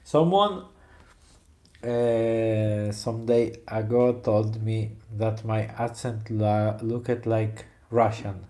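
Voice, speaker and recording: A man from Poland speaks in English with a Polish accent tinged with Italian. His voice is dark, mellow and a little low. He talks very slowly and haltingly, chatting to his viewers as he carves. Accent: Polish, mixed with Italian. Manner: soft-spoken, halting English, answers chat questions. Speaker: woodcarving livestreamer. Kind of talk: relaxed live-stream chat while carving.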